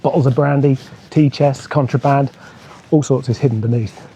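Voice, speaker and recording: A man with a soft-edged, mellow voice at a middling pitch narrates local history and legend as a travel host. He tells it like a storyteller, with faint noise behind his voice. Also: steady tone, moderate pace, muffled recording. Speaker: host of a motorcycle travel vlog.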